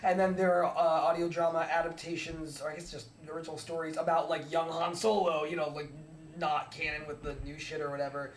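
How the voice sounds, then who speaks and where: A man with a fairly high voice chats casually from a little way off the mic. The room echoes around him, and his talk is halting and playful. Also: little pitch movement, far from the mic, a crowd in the background. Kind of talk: casual group chat, joking.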